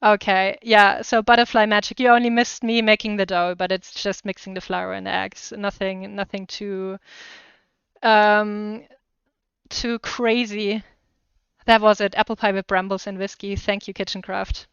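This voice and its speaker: A woman's voice: medium pitch, level tone, measured pace, fairly bright tone, clean tone, no echo. A woman with a German accent chatting casually and enthusiastically to live viewers as she cooks, in a slightly muffled recording. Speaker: cooking livestream host. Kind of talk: casual chat to live viewers while cooking.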